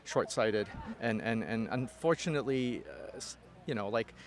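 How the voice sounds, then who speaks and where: A man's voice: medium pitch, lively intonation, normal speed. A man from Hong Kong with a Hong Kong accent, Cantonese his first language, answering interview questions in a thoughtful, hesitant way full of fillers and restarts. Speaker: interview guest. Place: festival interview.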